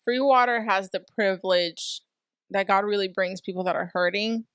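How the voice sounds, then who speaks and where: A woman's voice: medium pitch, normal speed, clear, resonant tone, no room sound. A young woman co-hosting a casual podcast, chatty and earnest. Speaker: podcast co-host.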